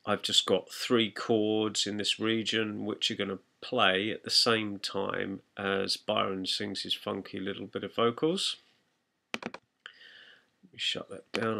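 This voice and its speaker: A man talking as a music production tutor, giving an instructional, step-by-step walkthrough of software. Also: fairly low pitch, creaky, slight background noise.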